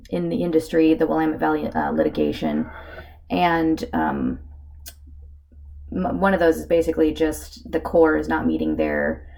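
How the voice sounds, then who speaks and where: A woman with a hoarse voice from a cold gives a casual narrated slideshow presentation, with lots of filler words. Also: low voice, a little mellow. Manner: casual, with many filler ums. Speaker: student presenter.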